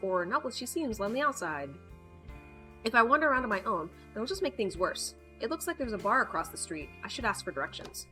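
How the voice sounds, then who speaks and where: A woman reading a visual novel aloud with casual, playful, wry asides, in an expressive manner. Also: mid-range voice. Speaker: gaming video host. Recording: background music behind the voice. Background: music.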